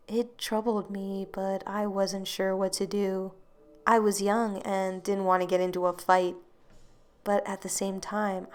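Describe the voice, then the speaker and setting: Flat-toned woman narrating eerie tales. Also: medium pitch. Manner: soft, hushed delivery, sometimes dropping to a whisper. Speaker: horror story narrator. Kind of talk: scripted first-person story narration.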